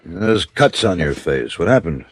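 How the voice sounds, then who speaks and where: A man's voice: low voice, big pitch swings, measured pace, no echo, heavily acted. An actor playing a stubborn, evasive businessman in a classic radio drama, guarded and defensive. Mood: irritated, defensive. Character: evasive, stubborn rich businessman under threat.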